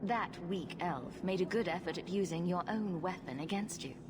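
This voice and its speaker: An actress playing a sarcastic, confident adventurer in a video game, with a dry, teasing delivery. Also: female voice, lowish voice, moderate pace, noisy background, natural voice. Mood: flippant. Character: wry sarcastic adventurer heroine, confident and flippant. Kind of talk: video game cutscene acting. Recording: loud music behind.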